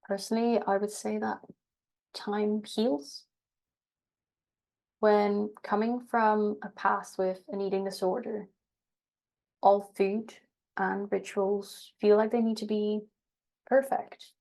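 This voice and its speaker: Roomy, flat-voiced woman coach with a cold. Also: medium pitch, vocal fry, far from the mic. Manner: reflective, steady advice with frequent fillers. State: has a cold, voice going hoarse. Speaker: eating disorder recovery coach. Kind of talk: live group coaching Q&A.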